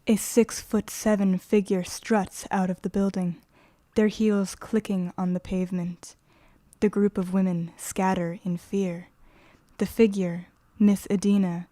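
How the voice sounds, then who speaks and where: A woman playing a calm, formal artificial guide who narrates a simulation in an audio drama, measured and composed. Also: mid-range voice, dry recording, lightly acted.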